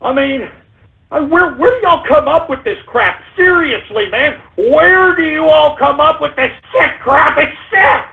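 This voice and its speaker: A man hosting a call-in radio show, brash and excitable, hurling insults at callers and often yelling. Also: very high voice, measured pace, vocal fry. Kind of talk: loud ranting call-in banter. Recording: tinny lo-fi stream audio.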